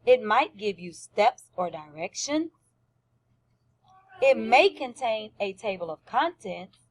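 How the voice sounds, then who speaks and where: A woman's voice: mid-range voice, sing-song, slow. A woman teacher giving a lesson to young children, explaining simply, repeating points and asking questions. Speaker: first-grade teacher.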